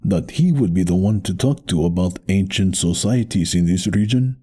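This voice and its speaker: An actor playing a noble masked champion wrestler in an audio drama, grave, stern and formal. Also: male voice, sits low, normal speed, warm tone, husky. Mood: polite, formal.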